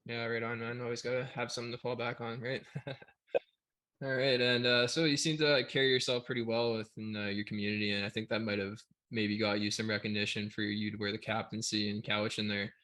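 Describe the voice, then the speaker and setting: Low, level-voiced host. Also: male voice, completely flat delivery, a little fast, sharp tone. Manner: friendly, chatty, stumbles over questions. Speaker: podcast host. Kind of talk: casual interview.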